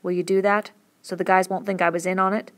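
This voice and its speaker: A woman voicing a weary, quiet ranch worker who speaks in a soft rural drawl. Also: medium pitch, level tone, quick pace, lightly acted. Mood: urgent.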